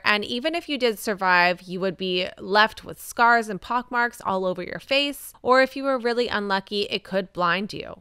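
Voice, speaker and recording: A woman's voice: mid-range voice, pushed and bright, clear, resonant tone, dry recording, clean studio sound. A woman hosting a cleaning vlog, narrating a history story in a wry, playful scripted voice-over. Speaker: vlog host.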